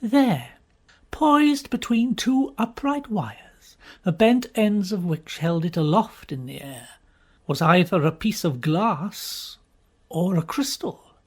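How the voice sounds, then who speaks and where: Male storyteller for audiobook fiction, husky and breathy, theatrical delivery with emphatic pauses. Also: sits high, expressive. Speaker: audiobook reader. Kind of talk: dramatic first-person storybook narration.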